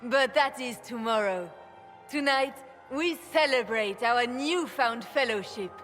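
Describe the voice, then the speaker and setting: An actress playing a regal, commanding empress in a fantasy game, speaking formally and imperiously. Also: female voice, fairly high pitch, unhurried, a bit bright, faint music behind. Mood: proclaiming. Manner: stately, imperious.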